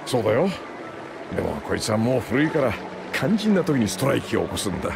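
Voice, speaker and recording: Casting: knowledgeable, helpful mechanic, anime game, creaky voice, lively intonation, casual air. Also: male voice, mid-range voice, fast, over music. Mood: easygoing, explanatory. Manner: casual, familiar. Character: easygoing, helpful grown man, knowledgeable mechanic. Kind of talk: Japanese anime game voice acting.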